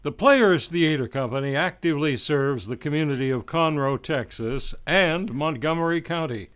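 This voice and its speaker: A man's voice: on the high side, moderate pace, fairly bright tone, clean tone. A man reading a scripted promotional announcement. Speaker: promo announcer for a community theatre.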